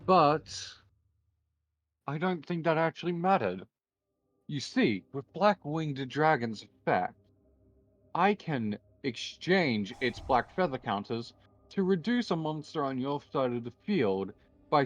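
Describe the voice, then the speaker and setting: A man's voice: medium pitch, animated, measured pace, natural voice. Composed, confident card duelist played by a man. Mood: calm, smug. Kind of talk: improvised Minecraft anime roleplay. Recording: music behind the voice.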